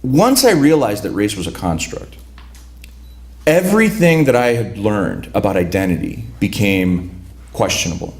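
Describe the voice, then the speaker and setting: A man's voice: fairly low pitch, big pitch swings, darkish tone. Reflective man reading his prose. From United States, raised among Lithuanian migrants. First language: Lithuanian. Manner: reflective, halting with repeated restarts. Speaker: author.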